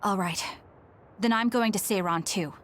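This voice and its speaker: A woman's voice: mid-range voice, moderate pace, close to an everyday voice. A woman playing a serious young soldier in an English game dub, earnest and direct. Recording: faint music behind the voice.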